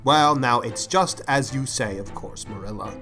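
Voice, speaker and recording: A man playing a shy, gentle but stubborn older bachelor farmer in an audiobook, speaking in a hesitant, halting, mild way. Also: medium pitch, over music, lightly acted. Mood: resigned. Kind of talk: audiobook character acting.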